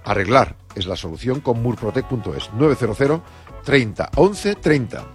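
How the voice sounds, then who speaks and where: A man hosting a radio show, emphatic and energetic, reading an ad live. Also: medium pitch, normal speed, over music. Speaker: radio host.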